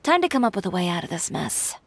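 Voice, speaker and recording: A woman's voice: lowish voice, sing-song, fast talker, creaky, noisy background. An actress playing a proud, determined young female designer with a dry, self-assured, decisive manner in a video game. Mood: dry, composed. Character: confident, determined young woman fashion designer, proud and brisk. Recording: music behind the voice.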